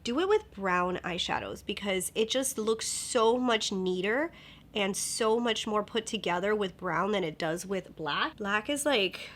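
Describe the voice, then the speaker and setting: A young woman with a slightly nasal voice chatting casually through a makeup tutorial, upbeat and sometimes dropping to a soft whisper. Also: medium pitch, animated, unhurried. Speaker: beauty vlogger.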